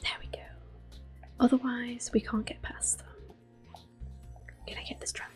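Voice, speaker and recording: Soft whispery lady doing asmr gameplay, takes it slow, faint music in the back. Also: fairly high pitch, flat delivery. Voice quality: soft, breathy, often whispered. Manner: gentle, unhurried. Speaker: gameplay host. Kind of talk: ASMR gaming commentary.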